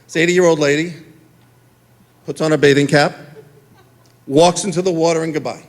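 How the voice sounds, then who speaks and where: A middle-aged man, telling a stand-up story on a theater stage and acting out the dialogue in quick back-and-forth. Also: a little high. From Queens, New York. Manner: animated, acts out dialogue in quick exchanges. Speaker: stand-up comedian.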